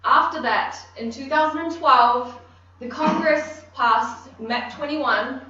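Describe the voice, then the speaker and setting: A woman with a New Zealand accent giving a technical conference presentation, measured and explanatory with frequent ums. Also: high-pitched, sharp tone. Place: conference room. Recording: echoey room, distant mic, dull.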